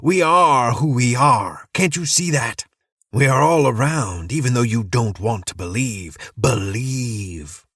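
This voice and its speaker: A man's voice: mid-range voice, animated, slow pace, fairly bright tone, breathy edge, no room sound, pitched above the performer's own voice, heavily acted. A man putting on a raised, wild-edged voice for a deranged preacher in an audiobook, manic and mocking, sliding from sing-song glee into threat. The delivery is dry and close, with nothing of the room around it. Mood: frantic. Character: unhinged male cult preacher, gleeful and menacing.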